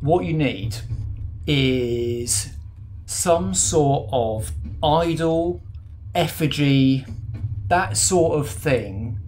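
A man playing a shrewd, wary male spirit agent, explaining things in a measured, matter-of-fact way during a tabletop game. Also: on the high side, a little mellow, slight background noise.

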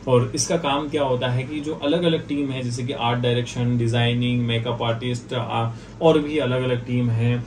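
An Indian man in his thirties talks through a casual product review at a middling pitch. He explains things in a repetitive way, leaning on filler phrases. The mic sits some way off in a small office, with clear noise around his voice. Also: darkish tone. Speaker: video host reviewing products. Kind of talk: casual unboxing and review talk. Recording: distant mic, clearly noisy room ambience.

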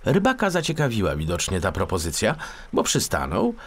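A man narrating a children's story as an audiobook, in an expressive storytelling style. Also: fairly low pitch, brisk, husky.

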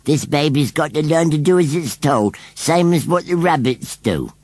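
Storybook scarecrow: rural English rustic, touchy and cheeky, raised pitch with wild swings, slightly husky. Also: male voice, lively intonation, moderate pace, a little mellow, no echo. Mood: defiant. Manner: rustic, emphatic, dialect-laden speech. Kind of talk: children's storybook character voice.

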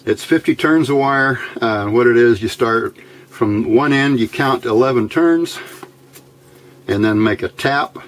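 A man giving an informal hands-on electronics tutorial in a plain-spoken, halting way, recorded at an indoor workbench. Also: mid-range voice. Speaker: electronics hobbyist demonstrating a build.

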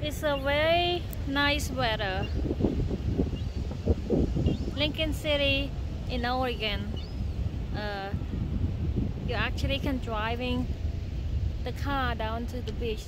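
A woman's voice: high-pitched, slow, pauses often. A mother filming her family at the beach, chatting casually and laughing, with slightly non-native phrasing. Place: rocky beach outdoors. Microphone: phone camera in hand. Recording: outdoor phone audio with wind and surf noise.

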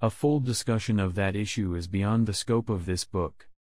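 A man reading scripted language test sentences aloud in a measured, deliberate way. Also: on the low side, darkish tone, dry recording. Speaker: test prompt reader.

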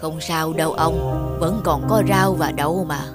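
A woman's voice: low-pitched, normal speed, lightly acted. A woman playing a caring, uncomplaining old village wife in a cartoon fairy tale. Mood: reassuring. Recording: music under the voice.

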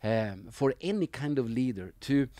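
A middle-aged man from Sweden, a senior pastor teaching on a leadership podcast from his home office in a halting, measured way full of uh fillers. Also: medium pitch, lively intonation, a crowd in the background. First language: Norwegian.

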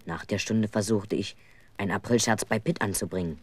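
A man's voice: fairly low pitch, brisk, husky. An actor playing an earnest, aggrieved schoolboy who tells his own story in a 1970s German children's radio play. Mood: earnest storytelling.

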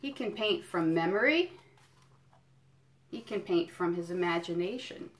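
A woman doing a children's storytime, reading a picture book in deliberate phrases and explaining things simply to young listeners. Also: fairly low pitch, animated. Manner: addresses young listeners directly with questions and encouragement. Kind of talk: children's storytime read-aloud with friendly asides.

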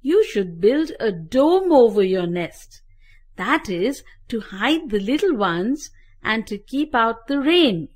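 A cheerful, wise magpie teacher, as a woman puts her on. The voice rises high and swoops with lively pitch, and she talks slowly and carefully, like a patient lesson in a storybook. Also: full character voice. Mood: instructive. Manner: cheerful, slow instructive.

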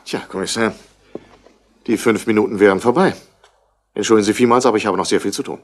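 A man's voice: lowish voice, steady tone, fast talker, lightly acted. An actor playing a brusque, self-important Australian businessman in a 1960s German radio crime drama. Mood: curt. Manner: clipped, self-important, indignant. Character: brusque, busy rich Australian businessman, wool merchant's son.

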